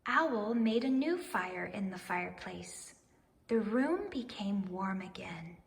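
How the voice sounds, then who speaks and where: A woman reading a children's story aloud in expressive narration, with deliberate, dramatic phrasing. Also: medium pitch, vocal fry, long reverb tail, slight background noise. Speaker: storybook reader.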